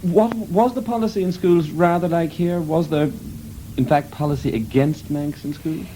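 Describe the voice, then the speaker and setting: Hesitant radio interviewer. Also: male voice, fairly high pitch, normal speed, mellow, a little hiss or hum, rough recording. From Ireland. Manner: hesitant, restarting questions.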